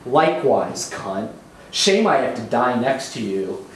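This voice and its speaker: A man's voice: mid-range voice, big pitch swings, unhurried. A man playing a crude, sneering middle-aged fat passenger who talks bluntly, in a very echoey room. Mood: venomous. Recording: very echoey room, faint hiss.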